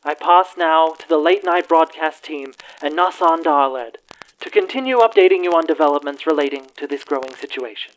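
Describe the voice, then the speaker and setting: An actor plays an earnest evening news anchor in a radio drama. The phrasing is formal and theatrical, delivered in measured announcer fashion at a medium pitch. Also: natural voice, poor audio. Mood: solemn, reassuring.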